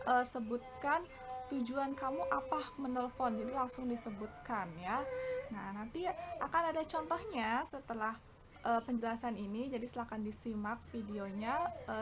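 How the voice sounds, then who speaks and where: A woman with an Indonesian accent explaining a bilingual lesson as an English teacher, often stretching out her words. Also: on the high side. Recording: clear background noise.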